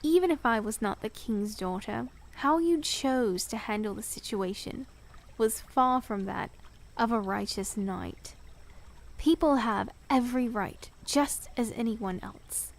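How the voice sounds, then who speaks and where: A woman's voice: on the high side, a little slow, creaky. A woman playing a loving, proud princess of nearly twenty in a fantasy audio drama, with formal old-world diction. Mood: haughty, reproving. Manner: formal, archaic storybook diction.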